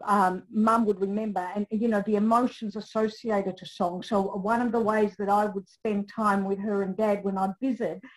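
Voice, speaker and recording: A woman's voice: mid-range voice, flat delivery, normal speed, few pauses, rough recording. Greek-born woman reflecting over a call. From Greece. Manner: reflective, loose and conversational with many restarts. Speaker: aged care professional and family carer. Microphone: remote call without camera.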